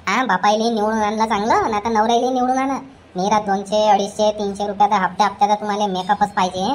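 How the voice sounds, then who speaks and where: A woman voicing a nagging, scolding old mother-in-law in a cartoon comedy, with a rapid ranting way of talking. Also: mid-range voice, level tone, close to an everyday voice.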